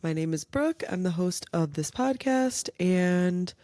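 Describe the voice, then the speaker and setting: A young woman chats on her own podcast in a loose, rambling way, full of "like" and side tangents. A lingering cold leaves her slightly nasal and raspy, recorded close and dry with no room sound. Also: fairly low pitch, lively intonation, measured pace, warm tone, no echo. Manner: chatty, rambling, lots of "like". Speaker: podcast host. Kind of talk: casual solo podcast chat.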